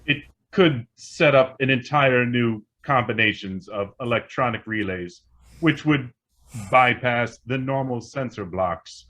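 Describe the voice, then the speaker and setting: A man's voice: medium pitch, unhurried, a bit bright, lightly acted. A man playing an obedient talking computer in a radio play, reciting in a formal, stilted, machine-like way. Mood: formal, informative. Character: literal, obedient male talking computer called a logic.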